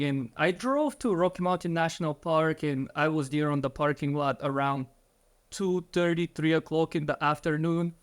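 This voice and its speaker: A man's voice: a little high, clear, resonant tone. A man from Bulgaria, in his thirties, earnestly telling his story as an interview guest, with slightly phrasing.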